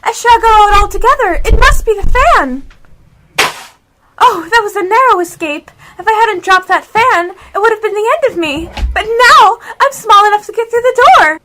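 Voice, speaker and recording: A woman's voice: very high-pitched, animated, moderate pace, bright, forceful tone, slight background noise, full character voice. A female performer playing a curious, polite, chattering young girl in an amateur radio play, earnestly talking to herself. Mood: startled, relieved.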